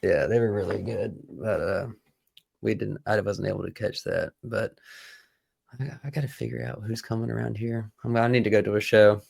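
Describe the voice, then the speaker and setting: Gravelly, laid-back man co-host. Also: fairly low pitch, steady tone, normal speed, darkish tone, dry recording, clean studio sound. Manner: laid-back, hesitant, full of ums and uhs. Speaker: podcast co-host. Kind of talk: casual chat.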